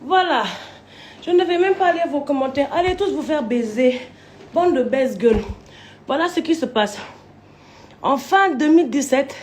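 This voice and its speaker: Woman, high voice, crude rambling rant, lots of swearing, echoey room. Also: darkish tone. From Côte d'Ivoire. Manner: crude, swearing, wandering storytelling. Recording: roomy echo.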